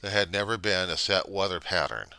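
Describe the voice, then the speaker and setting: A middle-aged American man giving a casual, rambling monologue, halting as he thinks aloud in plain words. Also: low voice, gravelly, bright, forceful tone, smooth, dry recording. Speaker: vlogger.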